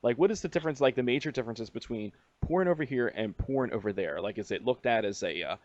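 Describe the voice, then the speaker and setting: A man's voice: mid-range voice, lively intonation, fast, no room sound, lo-fi sound. A man hosting a casual radio interview, hyped and crude, swearing freely and tripping over his words. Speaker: radio host.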